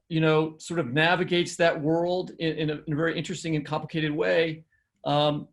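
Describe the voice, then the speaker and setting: A man with an American accent talks as a podcast co-host. His voice is creaky and a little high for a man. He words his questions casually, in a hesitant, roundabout way.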